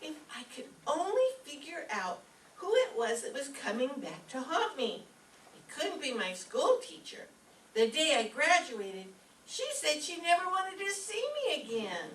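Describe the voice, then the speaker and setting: A woman's voice: high-pitched, big pitch swings, a little slow, fairly bright tone, exaggerated voice. A woman playing a dim-witted, earnest and literal-minded young woman in an old-time radio comedy. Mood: puzzled. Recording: echoey room, distant mic, faint noise.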